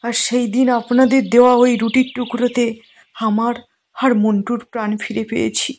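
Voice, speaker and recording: A woman playing a kind, grateful, generous village man in a cartoon, speaking politely and gently. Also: on the high side, flat delivery, normal speed, lightly acted. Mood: grateful, earnest. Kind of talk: cartoon moral-story dialogue. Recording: faint noise with birdsong.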